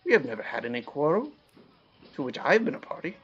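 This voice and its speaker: A man's voice: fairly high pitch, big pitch swings, quick pace, slightly rough. Man acting cheerful young nephew, slightly muffled. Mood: resolute, sorry. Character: cheerful, warm-hearted young nephew, earnest and persistent. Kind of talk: audio play of a Victorian storybook.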